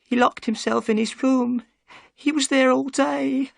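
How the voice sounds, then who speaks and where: A narrator voicing a frightened old housekeeper woman who pleads in a high, shaky, tearful voice. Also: steady tone, measured pace, gravelly, no room sound, clean studio sound, exaggerated voice.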